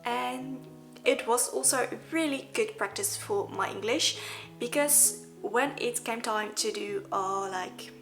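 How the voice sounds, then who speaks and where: A young woman from the Netherlands, a native Dutch speaker with a Dutch accent, chatting earnestly to camera in a vlog with soft laughs. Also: on the high side, measured pace. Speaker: vlogger. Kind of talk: casual talk to camera. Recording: background music.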